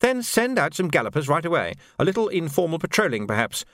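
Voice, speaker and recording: A man voicing a cautious army captain who gives measured, dry advice. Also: on the high side, very animated, fairly bright tone, no echo, voice pushed up.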